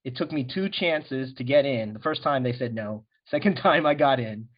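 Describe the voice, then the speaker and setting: A man's voice: mid-range voice, animated, moderate pace. A middle-aged American man talking candidly as a guest in a casual interview, often restarting his sentences. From USA. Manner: earnest, candid, frequent restarts. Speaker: guest, animation director. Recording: dull lo-fi recording.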